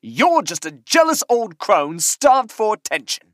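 Vain, indignant storyteller: theatrical, huffy and hugely expressive in pitch, for audio comedy. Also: female voice, a little slow, no echo, clean studio sound. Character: indignant, vain female rival storyteller, easily outraged. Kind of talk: comedic audio drama acting.

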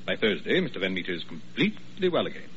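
An actor playing a fussy, anxious hospital administrator doctor in old radio drama, deferential and emphatic. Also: male voice, low-pitched, sing-song, fast talker, fairly bright tone, slightly rough, heavily acted. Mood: portentous. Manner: fussy, fretful, emphatic repetition. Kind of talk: 1940s radio drama acting. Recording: muffled old radio recording, faint noise.

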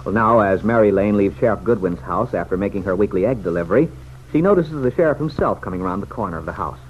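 A man's voice: on the low side, animated, fast. A man narrating a scripted vintage radio serial in a formal, dramatic storytelling manner. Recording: dull, hissy vintage radio recording.

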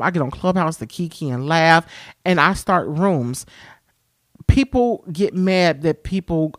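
Man podcast host, high-pitched voice, candid solo talk, dry close sound. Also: no room sound. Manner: frank and expressive, with frequent "you know" fillers.

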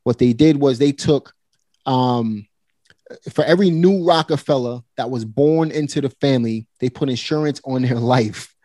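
A forty-year-old man talks in a relaxed, motivating way, speaking right to his listeners. His voice sits at an ordinary middle pitch and is recorded dry and close with no room sound. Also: moderate pace, no room sound. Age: 40s. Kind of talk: casual conversation.

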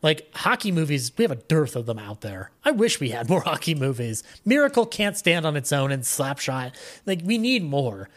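A man's voice: a little high, big pitch swings, moderate pace, fairly bright tone. A man talking casually as a podcast guest, rambling along with a fan's enthusiasm. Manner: rambling, enthusiastic fan talk. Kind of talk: casual interview chat.

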